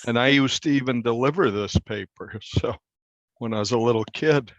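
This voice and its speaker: An American man in his sixties talking casually as an interview guest, plain-spoken and unhurried. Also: mid-range voice, slight background noise. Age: 60s. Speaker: veteran newspaper reporter, interview guest. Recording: slightly dull, lo-fi.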